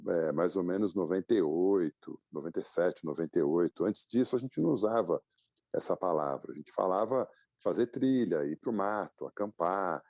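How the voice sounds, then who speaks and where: A man in his fifties from Brazil with a very low, gravelly voice and a dark, mellow tone. He recounts his story in a reflective way, pausing on fillers and restarting his sentences. The recording is dry and close. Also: dry recording. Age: 50s. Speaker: guest, publisher and adventurer. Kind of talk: casual interview storytelling.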